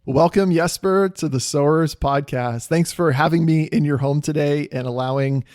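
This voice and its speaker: A man's voice: fairly high pitch, normal speed, a little mellow, dry recording. A man hosting a church podcast, delivering an upbeat, encouraging intro and leading an interview. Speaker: podcast host. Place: a home. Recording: dry close mic with faint music.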